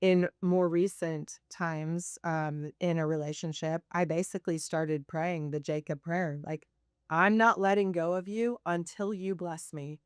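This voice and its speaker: Impassioned lower-voiced woman. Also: steady tone, a little slow, clean tone, no echo, studio quality. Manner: emphatic, impassioned. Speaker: guest. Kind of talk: casual faith conversation.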